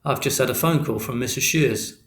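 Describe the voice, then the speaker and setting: A man voicing an exasperated middle-aged father who scolds and shouts. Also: medium pitch, normal speed, close to an everyday voice. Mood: angry. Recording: roomy echo.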